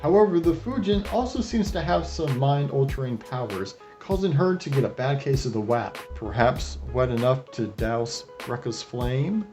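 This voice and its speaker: A man's voice: medium pitch, animated, moderate pace, hardly any pauses, darkish tone, faint music behind. A man hosting a video review, narrating with wry, sardonic commentary and crude joking asides. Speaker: host of an anime retrospective review.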